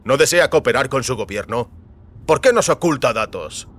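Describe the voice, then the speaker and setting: A man's voice: mid-range voice, lively intonation, normal speed, fairly bright tone, natural voice. Stern male interrogator, voiced by a male narrator, audio fiction, insistent and clipped, over background noise. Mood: pressing, exasperated. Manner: pressing, clipped questioning.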